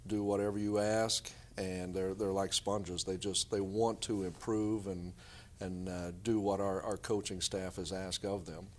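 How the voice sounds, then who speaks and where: A middle-aged man with a lowish voice speaks as a coach being interviewed. He gives explanatory, conversational answers in the manner of a guest on a sports show. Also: flat delivery, measured pace, slight background noise.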